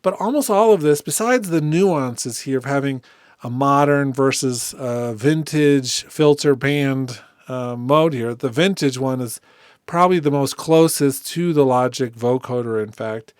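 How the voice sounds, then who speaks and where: A man giving a casual, conversational software walkthrough as a tutorial host. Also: mid-range voice, a little slow, smooth, dry recording, clean studio sound. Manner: informal, conversational.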